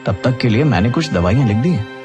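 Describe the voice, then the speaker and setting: A man's voice: mid-range voice, lively intonation, fast, music in the background, close to an everyday voice. A man voicing a businesslike, matter-of-fact male doctor in a cartoon moral story. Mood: brisk, advising. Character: businesslike male doctor, firm about payment.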